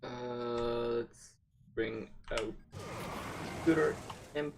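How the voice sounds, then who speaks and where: A man's voice: medium pitch, steady tone, very slow, vocal fry, background noise. A man streaming an online role-playing game with casual, muttering commentary and occasional swearing. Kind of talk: casual gameplay commentary, reading game dialogue aloud.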